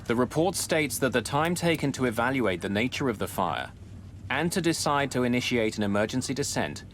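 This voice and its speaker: A man giving scripted, dramatic documentary narration in a measured, grave way, close on a studio mic. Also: mid-range voice, big pitch swings, fairly bright tone, slight background noise. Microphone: close studio voice-over mic. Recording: music bed under narration.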